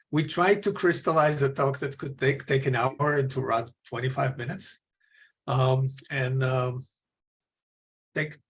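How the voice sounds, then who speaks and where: A man's voice: mid-range voice, creaky, a bit bright. A man moderating a forum and introducing the next speaker, earnest and full of fillers. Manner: earnest, with frequent fillers and restarts.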